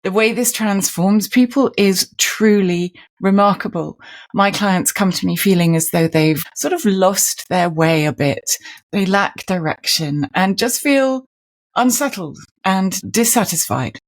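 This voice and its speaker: Woman, podcast host, earnest, measured, appreciative, scripted intro. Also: mid-range voice. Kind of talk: scripted intro then interview chat.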